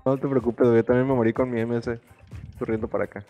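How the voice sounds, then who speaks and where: Fast-talking Mexican man with music behind. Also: mid-range voice, level tone, highs cut off. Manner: slangy, swearing. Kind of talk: casual gaming voice chat. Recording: voice chat with music over it.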